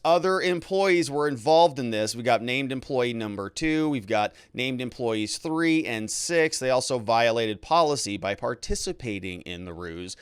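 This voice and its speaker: A man hosting legal commentary, reading from a report in an animated, emphatic way with informal asides. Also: on the high side, smooth, dry recording, studio quality. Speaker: legal commentator and show host. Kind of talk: news commentary, reading a report aloud and paraphrasing it.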